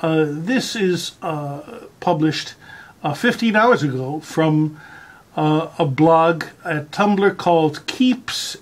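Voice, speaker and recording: Slow, halting deadpan presenter. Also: mid-range voice. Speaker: presenter reading a found article aloud. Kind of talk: rambling deadpan introduction and mock-serious dramatic reading.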